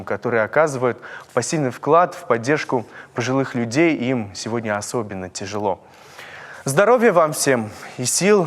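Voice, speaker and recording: A man from North Ossetia hosting a TV interview, speaking in a measured, searching way with many fillers. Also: mid-range voice, big-room sound. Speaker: TV host. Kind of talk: TV interview and sign-off.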